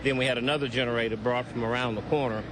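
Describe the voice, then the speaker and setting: A plain-spoken man, a mayor, talking haltingly in an unscripted news soundbite. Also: mid-range voice, fairly even intonation. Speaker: city mayor. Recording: noisy outdoor urban ambience.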